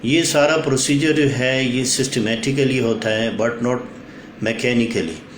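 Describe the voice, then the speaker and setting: A man's voice: medium pitch, fairly even intonation, slow pace. Echoey Pakistani man explaining legal procedure. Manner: formal, mixes English legal terms into speech. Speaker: legal instructor. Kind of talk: instructional legal explainer with examples. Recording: echoey room with faint background noise.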